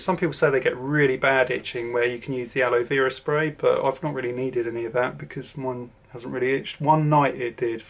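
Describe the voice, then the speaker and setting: A man's voice: mid-range voice, creaky. A man in his forties from southern England with a southern English accent, giving a casual, rambling to-camera vlog update with lots of ums and restarts. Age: 40s. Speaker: vlogger.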